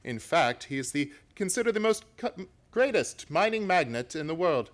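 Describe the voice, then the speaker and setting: An actor playing a self-assured gentleman detective in an old-time radio play, formal, articulate and wry. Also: a little high, very animated, moderate pace. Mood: brisk, explanatory.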